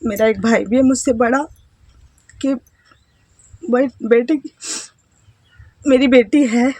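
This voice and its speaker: Woman in her 30s from india chatting to her phone at home, kinda high voice, stops and starts a lot, super dry close sound. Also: a little mellow, no echo. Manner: hesitant, halting, with self-conscious laughs and trailing phrases. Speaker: vlogger, housewife. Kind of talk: casual personal storytelling to camera.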